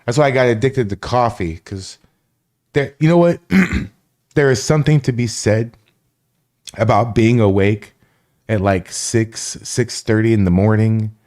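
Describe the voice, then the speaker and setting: A man's voice: lowish voice, measured pace, darkish tone. Rambling male live-stream host. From Florida. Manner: rambling, greets chat viewers by name, swears freely. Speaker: livestream host. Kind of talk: casual live-stream chat.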